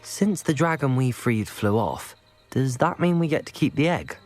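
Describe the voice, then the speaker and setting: An actor playing a bold, dry-witted teenage student adventurer in a video game, with a measured manner. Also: medium pitch, lively intonation, a little mellow, faint music behind, lightly acted. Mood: curious, wry. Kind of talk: video game cutscene acting.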